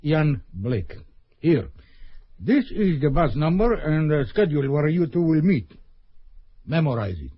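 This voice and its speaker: A cold scheming KGB colonel. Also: male voice, on the high side, big pitch swings, unhurried, pauses often, dry recording. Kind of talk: 1970s radio drama acting. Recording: dull old radio recording.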